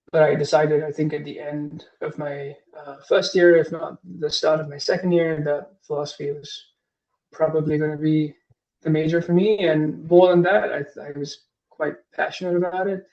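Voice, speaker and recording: A man's voice: fairly high pitch, darkish tone, lo-fi sound. A man in his thirties, from Singapore, answering interview questions as a guest professor, hesitant, with many fillers and restarts.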